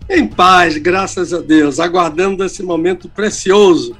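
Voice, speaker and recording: An older retired pastor from Salvador, Bahia, with a mixed Brazilian accent, teaching the Bible on radio in a calm, explanatory way. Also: male voice, sits high, measured pace, soft background music. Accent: mixed Brazilian Portuguese, little Bahian.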